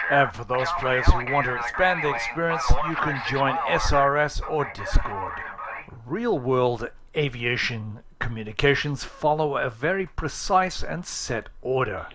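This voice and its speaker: Plain-spoken man presenting a tutorial. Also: medium pitch. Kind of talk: casual scripted narration for a flight sim how-to.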